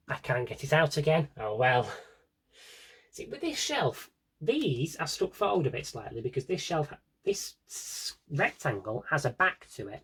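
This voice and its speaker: A man of medium pitch talks in a casual, rambling vlog style. His voice is a little husky and unpolished. He drops in dry, wry asides as he goes, like a fan walking through a collection. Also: fairly bright tone. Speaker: audio drama collector and fan. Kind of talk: casual rambling shelf-tour vlog chat.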